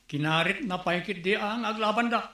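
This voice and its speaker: A calm village mediator. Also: male voice, high voice, normal speed. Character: patient male village official mediating. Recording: echoey room recording.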